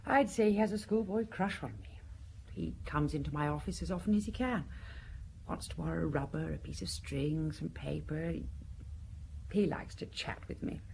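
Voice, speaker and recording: A composed secretary answers questions candidly in an audio drama. Her voice is low and very mellow, with confiding hesitations that sink toward breathiness. A light ambient noise sits behind her. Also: normal speed, low-quality recording. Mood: composed, fond. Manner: hesitant, confiding, dropping to breathy near-whispers.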